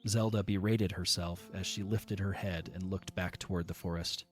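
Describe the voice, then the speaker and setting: A man narrating a fan audio drama in a calm, measured reading. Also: deep voice, faint music behind. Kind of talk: scripted storybook narration.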